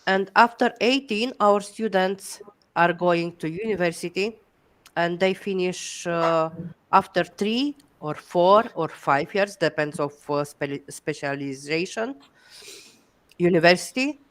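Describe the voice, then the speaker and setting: A middle-aged Romanian woman on a headset mic, speaking English slowly and with care. Her voice is smooth and clear, a little low, and she pauses often with fillers while giving encouraging answers. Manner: hesitant, many fillers, encouraging. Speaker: guest educator. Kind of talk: video call Q&A.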